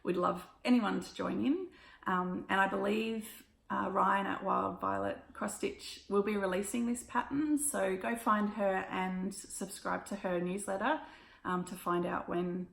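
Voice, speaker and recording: An Australian woman with a croaky, hoarse voice, chatting casually through a stitching haul with lots of ums and little laughs. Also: mid-range voice, fairly even intonation, a little slow, fairly bright tone, reverberant. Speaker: cross-stitch vlogger. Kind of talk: casual show-and-tell recap.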